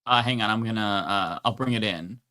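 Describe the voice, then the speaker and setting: Bright-voiced chatty man co-host. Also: lowish voice, fairly quick. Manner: chatty, blunt, joking. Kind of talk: casual livestream chat.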